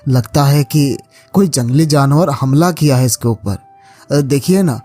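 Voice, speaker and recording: A man's voice: medium pitch, unhurried, mellow, close to an everyday voice. Man as a kind cartoon village farmer, music behind. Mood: respectful, pleading. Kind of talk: Hindi cartoon moral story acting.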